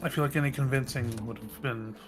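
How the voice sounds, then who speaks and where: Mid-pitched man chatting over faint music. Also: fairly even intonation, fast. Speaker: tabletop game player. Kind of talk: casual table chat.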